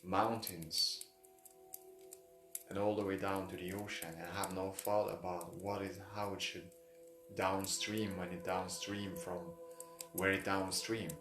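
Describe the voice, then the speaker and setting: A man with a deep, level voice leads a guided meditation. He speaks non-native English in halting phrases that circle back and repeat. The room echoes around his voice. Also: little pitch movement, a bit bright. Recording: roomy echo, music behind. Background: music.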